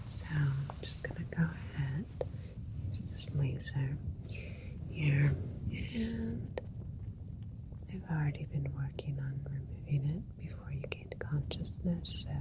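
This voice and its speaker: A woman playing a gentle, admiring alien scientist doctor in a soothing, clinical whispered ASMR roleplay. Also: low-pitched, rough recording.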